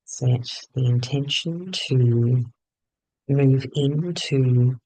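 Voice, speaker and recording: A man leading a guided meditation in short phrases with long pauses between them. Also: medium pitch, mellow, no echo, low-quality recording.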